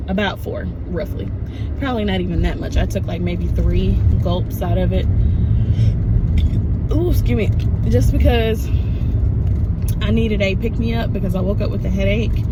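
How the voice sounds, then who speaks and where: A woman in her thirties chats casually to her phone camera while standing outdoors by her car. Her voice is slightly raspy after a coughing fit, and she rambles with many fillers. Loud street and traffic noise runs behind her. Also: medium pitch. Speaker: nursing student vlogger. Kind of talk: casual vlog chat.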